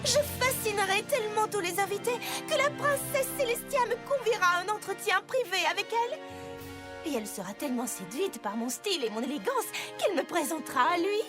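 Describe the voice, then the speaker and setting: A woman's voice: extremely high pitch, very animated, fairly quick. A woman voicing a vain, elegant female pony in a cartoon dub, with an affected, melodramatic and swooning delivery. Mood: swooning, dreamy. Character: vain, theatrical, elegant female unicorn pony fashion designer. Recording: music behind the voice.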